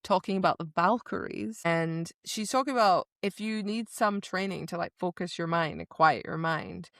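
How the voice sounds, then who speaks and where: Low-pitched, creaky woman podcast co-host. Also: moderate pace, no room sound, clean studio sound. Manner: acts out dialogue, informal and expressive. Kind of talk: casual book discussion, animated retelling.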